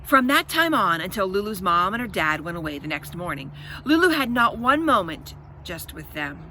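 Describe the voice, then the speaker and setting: A woman reading a children's story aloud with expressive, dramatic emphasis and chatty asides to her listeners. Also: mid-range voice, moderate pace, a little hiss or hum.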